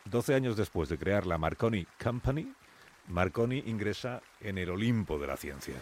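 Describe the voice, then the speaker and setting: A man with a Castilian Spanish accent narrating a scripted radio story in a dramatic, deliberate way, in short suspenseful phrases. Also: lowish voice, expressive, darkish tone, some chatter behind, slight background noise.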